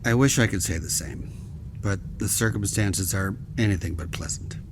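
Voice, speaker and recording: A man acting a grave, formal senior admiral in an audio drama, speaking in a measured, deliberate way. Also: fairly low pitch, background noise, natural voice.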